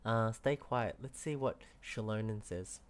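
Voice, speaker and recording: A man's voice: lowish voice, measured pace. A man giving casual gameplay commentary in short, reactive interjections. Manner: short interjections, mild swearing. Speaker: game streamer reacting to a story quest. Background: game dialogue and ambience.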